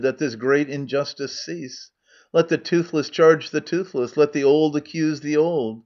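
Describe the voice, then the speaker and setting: A man's voice: a little high, close to an everyday voice. A man voicing the indignant leader of an old men's chorus, declaiming rhymed verse. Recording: muffled lo-fi recording.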